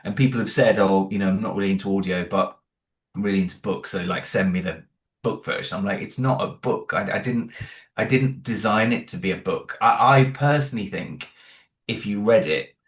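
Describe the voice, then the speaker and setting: A man's voice: lowish voice, brisk, roomy sound. A man being interviewed as an audio drama creator, chatting casually with many restarts and asides. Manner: rambling, many restarts, laughs along.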